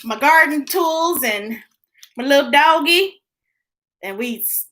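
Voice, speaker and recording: A woman's voice: sits high, lively intonation, takes it slowly, bright, forceful tone. An American woman chatting casually and playfully to young viewers as a children's story time host, stretching words for emphasis.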